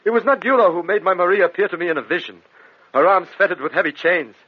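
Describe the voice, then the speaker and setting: An actor playing an anguished, haunted husband in a gothic radio drama, with fervent, theatrical, pleading delivery. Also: male voice, high-pitched, lively intonation, fast, breathy edge. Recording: dull lo-fi radio recording.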